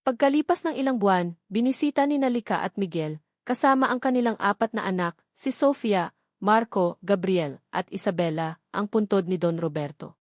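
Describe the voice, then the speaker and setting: A woman's voice: medium pitch, moderate pace, no echo. Woman narrating a drama, dry close sound. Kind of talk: scripted audio drama narration.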